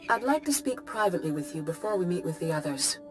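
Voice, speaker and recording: An actress playing the serene female leader of the Jedi Council in a video game, with a measured manner. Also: fairly low pitch, sing-song. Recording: game cutscene with music behind.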